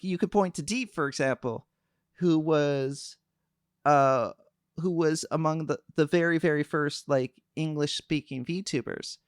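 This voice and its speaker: A young man chatting on a livestream, calm and soft-spoken, hesitating often with fillers. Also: on the high side, smooth, no echo, studio quality. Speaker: VTuber streamer. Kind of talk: casual livestream chat.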